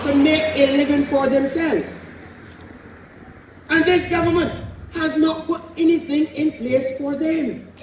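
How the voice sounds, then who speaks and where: A Barbadian man speaks to a rally crowd in a very high voice, impassioned and folksy. His words ring out with echo as though heard from a distance. A faint hum of noise sits underneath. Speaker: political candidate. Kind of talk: outdoor political rally speech. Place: outdoor political meeting. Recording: echoey, distant rally sound.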